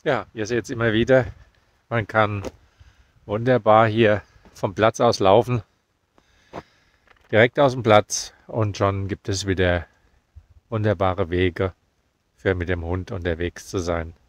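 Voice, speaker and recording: A man in his fifties talks casually to his phone camera, held at arm's length as he walks outdoors. His voice sits a little low, and his talk is relaxed and chatty, full of easy fillers. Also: measured pace, pauses often. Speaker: caravan vlogger. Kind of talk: casual vlog narration while walking. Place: outdoors on a foggy field path.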